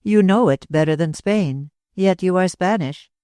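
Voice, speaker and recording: A woman voicing a calm, curt young Englishman in a read-aloud story. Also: on the low side, unhurried, clear, resonant tone, no echo, studio quality, lightly acted. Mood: cool. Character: cool-headed young Englishman.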